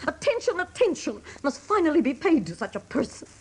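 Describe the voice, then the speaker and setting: An actress playing a weary, fiercely loyal older wife and mother in a radio drama, pleading emphatically in a husky voice. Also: high voice, very animated, a little fast. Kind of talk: dramatic radio play acting.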